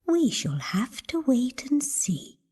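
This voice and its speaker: Mother nanny goat, played by a woman, gentle yet firm, hushed breathy voice, mellow, for a children's cartoon. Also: sits high, big pitch swings, slow pace, full character voice. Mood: cautious, firm. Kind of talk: children's cartoon story voice acting.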